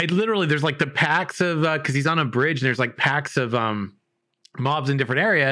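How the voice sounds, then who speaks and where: A man in casual gaming chat, telling stories with lots of swearing and acted-out reactions. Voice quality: says he has a pretty deep voice. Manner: animated, profane storytelling with acted-out reactions.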